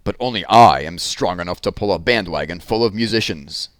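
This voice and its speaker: A man's voice: sits low, a little fast, no room sound, studio quality, lightly acted. A man voicing a big, boastful ox in a children's storybook, roaring and pompous. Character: boastful, booming, strong ox.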